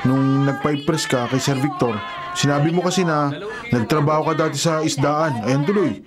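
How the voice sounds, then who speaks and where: An actor playing a protective, teasing cousin who works as a driver in a Filipino radio drama, brotherly and matter-of-fact. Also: male voice, fairly high pitch, normal speed, crowd noise, natural voice. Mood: apologetic, brisk. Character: protective, teasing male cousin, family driver showing newcomer around. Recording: loud music bed behind dialogue.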